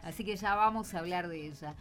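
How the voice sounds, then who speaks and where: A woman's voice: fairly low pitch, a little fast, a bit bright, soft background music. A woman with an Argentine accent hosting an informal radio chat with schoolchildren, prompting with short questions and echoed answers. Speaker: radio host.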